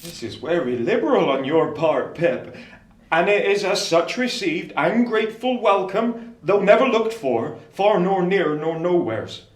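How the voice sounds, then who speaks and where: An actor playing a humble, good-natured blacksmith in a Victorian radio play, rambling in a rustic, roundabout way. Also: male voice, high voice, lively intonation, a little slow. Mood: earnest, humble. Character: humble, bashful country blacksmith, good-natured grown man. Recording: echoey room sound.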